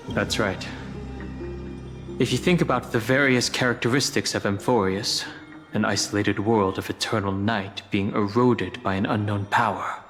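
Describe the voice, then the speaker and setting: An actor voices a thoughtful man in a fantasy game. His fairly low voice carries a long reverb, and he reasons things out earnestly and slowly builds his point, with music playing behind. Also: steady tone, fairly bright tone, lightly acted. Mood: pensive. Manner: measured, reflective. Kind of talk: dramatic game dialogue.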